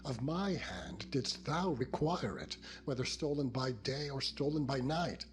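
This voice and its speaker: A man's voice: mid-range voice, animated, a little slow, a little hiss or hum, voice pushed up, lightly acted. A man voicing an aggrieved, earnest biblical patriarch in solemn, declamatory dramatized scripture. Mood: indignant. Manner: declamatory, archaic solemn phrasing. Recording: music bed behind the voice.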